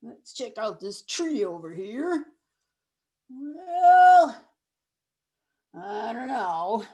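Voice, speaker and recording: A woman's voice: a little high, sing-song, extremely slow, warm tone, full character voice. A woman voicing a grumpy, hungry male troll who lives under a bridge, with a folksy, chuckling drawl. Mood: grumbling. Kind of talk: storytelling with voices.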